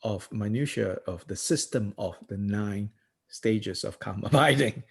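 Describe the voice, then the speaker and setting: A middle-aged man from Malaysia, a Buddhist teacher talking through ideas in a thoughtful, unhurried way, with many pauses, "you know"s and frequent chuckles. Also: on the low side, slightly rough. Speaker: Buddhist teacher leading a book study. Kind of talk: reflective discussion talk.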